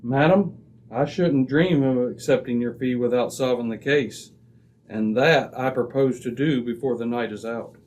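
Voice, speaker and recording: Male actor as composed British sleuth, brisk, exact, self-assured, classic radio mystery style. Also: medium pitch, a little mellow, a little hiss or hum, lightly acted, low-quality recording. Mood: firm, polite. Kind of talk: old-time radio mystery acting.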